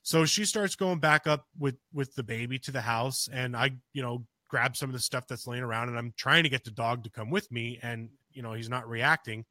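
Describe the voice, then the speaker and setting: A man's voice: medium pitch, moderate pace, hardly any pauses, no room sound. A man co-hosting a podcast, telling a personal story casually, rambling with many restarts and fillers. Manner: rambling, full of restarts and "you know"; gets louder and more urgent as the story builds. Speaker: podcast co-host.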